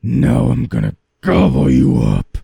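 A man's voice: medium pitch, huge pitch swings, unhurried, creaky, dark tone, breathy edge, dry recording, studio quality, exaggerated voice. A man voicing a great ugly troll in a fairy tale, roaring and threatening like a hungry bully. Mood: roaring, menacing.

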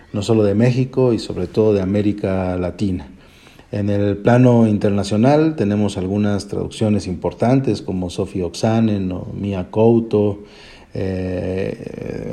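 A man's voice: lowish voice, dark tone. A man with a Mexican accent giving a prepared introductory message as a company director. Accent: Mexican Spanish. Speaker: publishing house director, guest.

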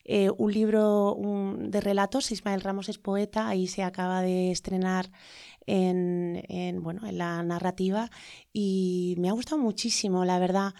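A woman's voice: medium pitch, little pitch movement, a little slow, mellow, clean tone, no room sound, clean studio sound. Hesitant, thoughtful Spanish woman guest. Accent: Spain Spanish. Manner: hesitant, many fillers and tag questions. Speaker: interview guest, lawyer. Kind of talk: reflective conversational interview.

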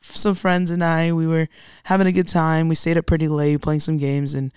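A young adult woman with a raspy, lowish voice recovering from being lost, chatting in an animated, slangy, self-deprecating way as a podcast co-host. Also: brisk, no echo, clean studio sound. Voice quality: somewhat deep voice for a woman. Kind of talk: casual podcast chat.

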